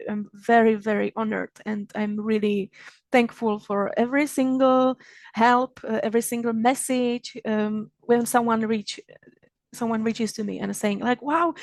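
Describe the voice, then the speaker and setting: A fairly high-voiced woman with a Czech accent welcomes listeners to an online gathering. She stops and restarts her sentences often and laughs between thoughts. The sound is dry and close, as on a video call. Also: no room sound. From Czech Republic. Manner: warm-hearted, laughing often, halting with many restarts. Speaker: magazine founder hosting an online event. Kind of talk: opening remarks at a virtual event. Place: home in Israel.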